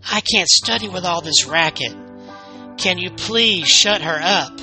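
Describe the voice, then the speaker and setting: A nervous young girl acted out by a man pleads tearfully in a horror tale. The voice is pushed higher and very bright, slow in pace, with a whiny edge. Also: expressive, soft background music, heavily acted. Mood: upset, pleading. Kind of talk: horror storytelling character voice.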